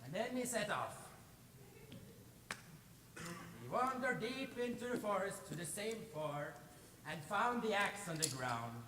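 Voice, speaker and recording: A young man from Norway narrating a folktale live on stage in a theatrical, expressive way. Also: high-pitched, slow, pauses often. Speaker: storyteller. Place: stage in a large hall. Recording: echoey hall, distant mic, clear noise.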